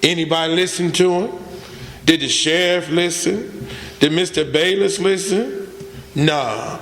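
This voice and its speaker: Man acting high-voiced, despairing young prisoner. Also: unhurried, fairly bright tone. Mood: resigned, bitter. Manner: plain uneducated speech with double negatives. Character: despairing young male prisoner, uneducated, resigned and bitter. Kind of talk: old-time radio drama acting. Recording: echoey hall stage recording.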